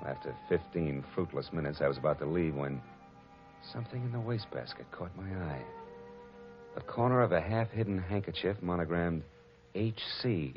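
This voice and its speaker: Deep gruff private eye, dry wisecracks, tinny old radio sound with music under it. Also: male voice, animated, moderate pace. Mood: wry. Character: hard-boiled male private detective, wry and tough. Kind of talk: 1940s radio drama narration.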